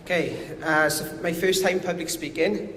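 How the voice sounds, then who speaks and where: A man's voice: on the high side, fairly even intonation, moderate pace, fairly bright tone. A man with a strong Welsh accent giving a conference presentation as a company director, a little nervous and self-deprecating. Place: conference hall. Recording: echoey hall with faint noise.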